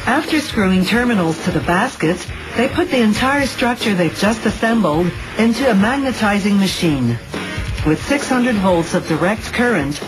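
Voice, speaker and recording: A woman's voice: mid-range voice, rough recording. Woman narrating a documentary over music. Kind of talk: scripted explanatory narration. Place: studio voice-over. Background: background music.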